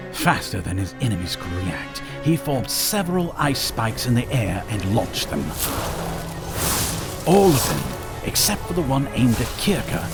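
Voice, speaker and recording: Male narrator for fantasy drama: measured, very unhurried, expressive delivery, clear music bed underneath. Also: mid-range voice. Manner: measured, deliberate storytelling.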